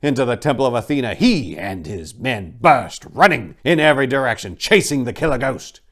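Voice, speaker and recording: A man with a medium-pitched voice delivers a dramatic reading as narrator. His pitch moves widely, and his manner is emphatic and theatrical. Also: sing-song, fairly quick. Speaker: narrator and host.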